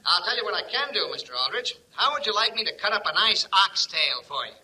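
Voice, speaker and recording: An actor playing an obliging, chatty small-town butcher in old-time radio comedy, apologetic and helpful. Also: male voice, high voice, very animated, fast talker, pushed and bright, exaggerated voice, rough recording. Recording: old radio recording, light noise.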